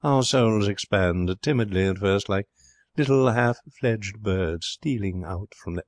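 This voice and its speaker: A man's voice: lowish voice, unhurried, no echo, clean studio sound, natural voice. A man voicing an affected young poet, gushing and pompous in a flowery way, for a storybook. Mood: rapturous. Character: affected, soulful young male poet, slim and precious.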